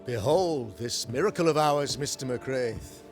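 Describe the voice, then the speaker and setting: An actor playing a pompous, middle-aged preacher in a period video game, speaking in florid, grandiloquent sermon style. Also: male voice, medium pitch, measured pace, faint music behind, lightly acted. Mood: confiding, grandiose. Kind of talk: theatrical period game acting.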